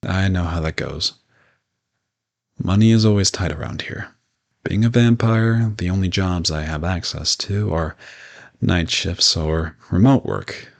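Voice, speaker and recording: A low-pitched, gravelly modern vampire, played by a man, speaks in a roleplay audio drama. He is polite and reassuring, explaining things in a gentle, conversational, matter-of-fact way. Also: measured pace.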